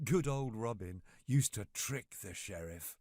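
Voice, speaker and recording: A man reads a bedtime story for children in a medium-pitched voice. He takes his time and swings his intonation widely to keep the tale expressive. The sound is dry, with very little room to it. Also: a little slow, dry recording.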